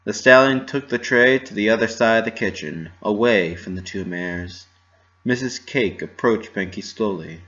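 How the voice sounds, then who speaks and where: A man's voice: on the low side, moderate pace, a bit bright, muffled recording. A man narrating a story as a scripted dramatic reading.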